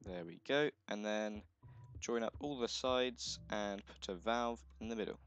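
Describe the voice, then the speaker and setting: A man with a croaky, just-woken voice, chatting casually through a gameplay video, thinking aloud and correcting himself as he goes. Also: fairly low pitch, unhurried, fairly bright tone, lo-fi sound. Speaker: let's play host.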